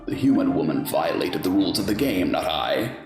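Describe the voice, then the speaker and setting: A man playing a haughty, menacing fey king villain in a radio play, speaking with formal, disdainful theatrical delivery and a gravelly voice. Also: low voice, very animated, a little fast, echoey room, music in the background. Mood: smug disdain.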